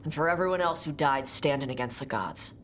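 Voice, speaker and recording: A woman playing a blunt, brash young warrior with fire magic, talking gruffly and casually in a video game cutscene. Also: deep voice, expressive, a little fast, slight background noise. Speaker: party companion.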